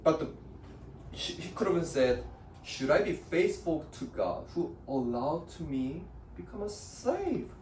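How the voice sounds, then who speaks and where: Male youth preacher, high and animated, casual sermon style, distant mic in a reverberant church hall. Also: unhurried, slight background noise. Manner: lively preaching with sudden loud emphases and quiet drops; conversational, with fillers and restarts. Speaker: youth pastor. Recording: echoey room from a distance.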